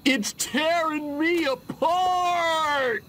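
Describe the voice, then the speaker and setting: A man's voice: extremely high pitch, extremely slow, sharp tone, exaggerated voice. An actor voicing a dim, angsty 1950s teenage boy in a campy video game, melodramatic in manner. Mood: melodramatic, awed.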